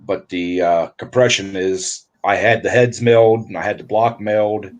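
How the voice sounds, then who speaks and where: A man, a guest on a livestream, explaining engine work in a relaxed way with lots of fillers. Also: on the low side, normal speed. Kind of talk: casual technical chat.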